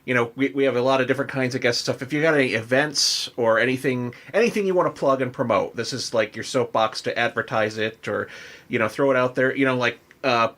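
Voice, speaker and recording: A man's voice: medium pitch, fast talker, a bit bright. An American man hosting a podcast, chatting casually in an informal, rambling way. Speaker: podcast host.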